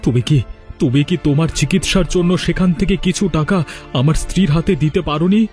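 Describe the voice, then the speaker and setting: Man acting an earnest, higher-voiced village son. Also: a little fast, a little mellow, faint music behind, lightly acted. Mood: angry, reproachful. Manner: earnest, pleading, reproachful. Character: earnest, protective married son of a village family. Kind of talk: melodramatic cartoon family comedy acting.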